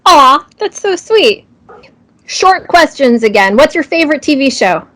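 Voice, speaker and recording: A woman's voice: high-pitched, very animated, unhurried, a bit bright. A woman interviewer asking casual, friendly questions and responding in an encouraging, appreciative way. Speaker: interviewer, host.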